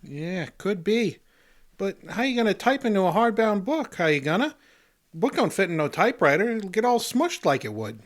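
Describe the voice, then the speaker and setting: A punch-drunk, folksy janitor in an old-time radio comedy. His pitch swoops around, and he nervously repeats the tail ends of his phrases. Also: big pitch swings, moderate pace. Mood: puzzled. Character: punch-drunk male custodian, folksy and jittery.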